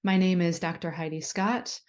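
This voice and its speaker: Female interviewer, somewhat low pitch, calm and articulate, video-call delivery. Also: normal speed. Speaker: host and interviewer. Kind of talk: scripted-sounding introduction then conversation.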